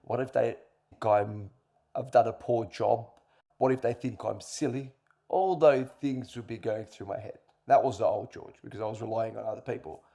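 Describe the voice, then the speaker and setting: A man's voice: fairly low pitch, normal speed, creaky, dark tone. A middle-aged man answering interview questions earnestly, explaining with examples and repeating points for emphasis. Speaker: interview guest, coach.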